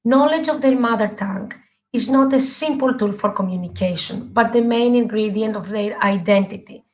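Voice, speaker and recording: A middle-aged woman from Greece, a native Greek speaker and English teacher, giving an earnest live online talk with many pauses and fillers. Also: a little high, poor audio. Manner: earnest, halting with frequent fillers.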